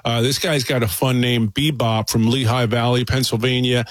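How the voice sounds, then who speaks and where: A man's voice: mid-range voice, fairly even intonation, moderate pace, dry recording. Chatty male doctor guest, dry sound. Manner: casual, chatty with fillers. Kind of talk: radio interview pitch.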